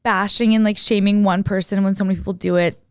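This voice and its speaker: A young woman co-hosts a casual podcast with a clear, smooth voice at an average pitch. Her talk is slangy and opinionated, full of conversational filler words like "like". The sound is close and dry, with no room echo. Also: fairly even intonation, quick pace, darkish tone. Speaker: podcast co-host.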